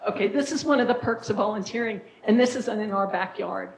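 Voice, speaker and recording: A raspy-voiced woman gives a council presentation in a casual, friendly way, with plenty of fillers. Her voice sits mid-range in pitch. The chamber around her is noticeably echoey. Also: a little fast, a crowd in the background. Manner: friendly, informal presenting style with frequent fillers. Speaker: nonprofit director giving a presentation. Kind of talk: public presentation at a council meeting.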